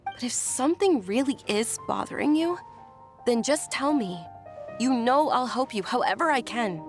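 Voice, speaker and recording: A woman voicing a sheltered, earnest young noblewoman in a video game, gentle and sincere. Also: fairly high pitch, lively intonation, moderate pace, close to an everyday voice. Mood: concerned. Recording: game audio with faint music.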